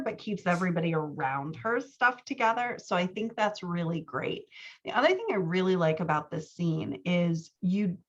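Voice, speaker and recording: Dull-sounding recording, low-pitched encouraging woman. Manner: encouraging, affirming. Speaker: writing coach and podcast host. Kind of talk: casual conversational feedback.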